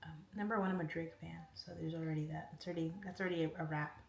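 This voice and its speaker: Low gravelly woman streaming in echoey room. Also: steady tone, fast, lo-fi sound. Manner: reads out viewer comments and answers each one. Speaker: livestream host. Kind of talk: casual livestream chat. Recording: echoey room, faint noise.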